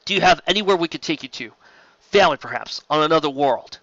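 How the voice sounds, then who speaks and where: A man's voice: on the high side, lively intonation, quick pace, fairly bright tone, no room sound, muffled recording. A man voicing a concerned, authoritative starship captain in a science fiction story. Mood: urgent concern.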